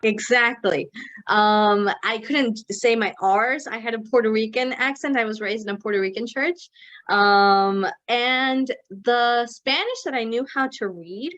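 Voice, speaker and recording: A woman's voice: fairly high pitch, a little slow, a bit bright, lo-fi sound. A young woman the United States, talking casually as a guest in an interview, rambling with many fillers and restarts and dropping in Spanish words. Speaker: guest, company co-founder. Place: Mexico City.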